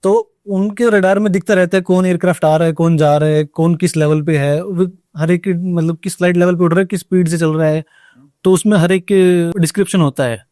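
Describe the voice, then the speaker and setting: A man explains his work in an informal interview. His voice sits a bit high and is recorded close and dry. He feels his way through explanations respectfully, slipping in English words. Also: steady tone, a little fast, a little mellow, no echo. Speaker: air traffic controller being interviewed.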